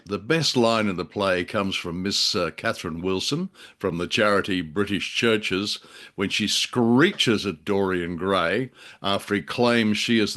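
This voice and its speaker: Wry guy cohosting a chat show, kinda low voice, casual n jokey. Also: fairly bright tone. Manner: wry, joking, conversational. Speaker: podcast co-host. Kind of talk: casual discussion of a classic drama.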